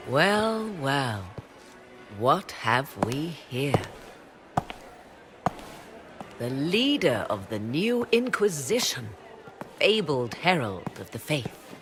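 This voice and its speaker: An actress playing a sly, mocking court sorceress in a video game cutscene, speaking slowly and teasingly. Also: female voice, rumbling low, big pitch swings, heavily acted. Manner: slow, teasing, measured. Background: music.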